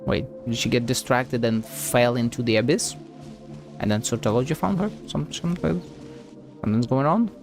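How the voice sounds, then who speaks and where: A man's voice: medium pitch, fairly quick, vocal fry, over music. A man giving thinking-aloud commentary as a game streamer, hesitant and musing.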